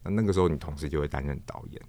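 Male interview guest, Taiwanese accent: very low register, reflective and hesitant, often repeating words, close dry sound. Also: warm tone, dry recording. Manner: halting, thoughtful, searches for words and repeats himself. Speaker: guest, theatre performer, puppeteer and director.

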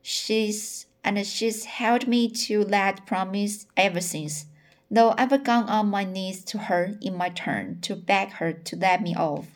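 Woman reading as an anguished, slow-spoken pleading man. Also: mid-range voice, natural voice. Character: anguished, pleading middle-aged man, long-suffering suitor. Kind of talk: storybook reading.

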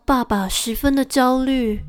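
A woman's voice: high voice, unhurried. A woman playing an anxious, devoted young girl who tells her own gothic tale in a radio drama. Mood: wistful, anxious. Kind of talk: Mandarin radio drama acting and narration. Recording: music under the voice.

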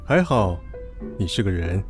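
A man playing a calm, easygoing, helpful businessman in an audio drama, unhurried and drily understated. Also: deep voice, music in the background. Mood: drily amused.

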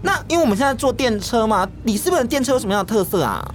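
Man, Taiwanese accent, very swoopy intonation, rapid-fire, teasing gushing co-host banter, noisy recording. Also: very high-pitched, very animated, faint music behind. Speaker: radio co-host. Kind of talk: casual playful banter.

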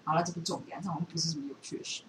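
A woman's voice: low-pitched, steady tone, racing, echoey room. A woman with a Taiwanese accent chatting casually as a podcast host, thinking aloud in a rambling way. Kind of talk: casual chat. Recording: distant mic with faint background noise.

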